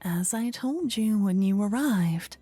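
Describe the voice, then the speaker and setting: A woman playing a playful, regal fantasy queen in a soft-spoken, breathy ASMR roleplay, languid and coy, often slipping into whispers close to the microphone. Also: mid-range voice, lively intonation, takes it slowly, no room sound, faint music behind. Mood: charmed, playful. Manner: languid, coy, flirtatious, courtly. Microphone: close ear-to-ear microphone.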